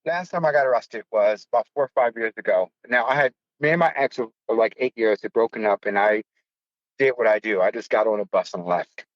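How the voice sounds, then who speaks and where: A man's voice: lowish voice, a little fast, no room sound, dull audio. A man in his fifties from the United States, a podcast host telling a personal story in a blunt, profane, self-mocking way. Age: 50s. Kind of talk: casual storytelling.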